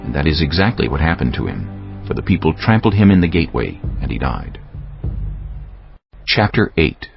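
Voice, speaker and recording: A man's voice: a bass voice, big pitch swings, normal speed, vocal fry, pauses often, dull audio, soft background music. A man giving scripted narration of scripture as an audiobook narrator.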